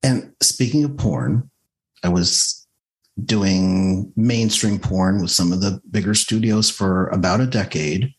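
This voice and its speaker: A man hosting a podcast and asking interview questions in a measured way. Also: deep voice, rough recording. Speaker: podcast host.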